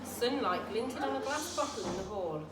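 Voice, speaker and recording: A woman reading a children's bedtime story aloud in an expressive, dramatic storytelling style. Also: fairly high pitch, moderate pace. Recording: roomy, distant mic with faint noise.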